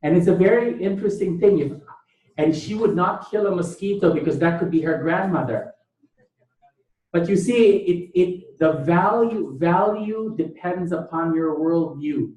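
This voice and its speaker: A man from Thailand at the front of an echoey lecture room, higher-pitched, pressing his argument on students with emphatic repeats and questions. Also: a little slow, dark tone. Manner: emphatic, repeats phrases, poses rhetorical questions. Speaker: lecturer, bioethicist. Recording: echoey room, distant mic.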